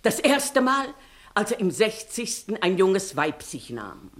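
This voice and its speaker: An actress playing a long-winded, indignant village plaintiff in a classical German comedy radio play, declaiming emphatically and plaintively. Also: female voice, mid-range voice, sing-song, unhurried. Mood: proud, long-winded.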